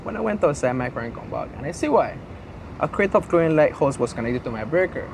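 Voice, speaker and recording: A man's voice: a little high, sing-song, quick pace, creaky. A man playing a bewildered local homeowner in a comic news skit, talking casually. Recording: noisy outdoor street sound.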